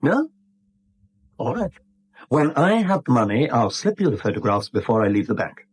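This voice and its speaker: An actor playing a smooth, gloating blackmailer in a 1970s radio drama, with a British accent and a glib, mock-polite, theatrical manner. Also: male voice, mid-range voice, sing-song, moderate pace. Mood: smug, bargaining.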